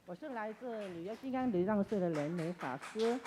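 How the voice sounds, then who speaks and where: A woman's voice: medium pitch, expressive, a little fast, warm tone, slight background noise. Woman giving a formal temple greeting. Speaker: dharma master.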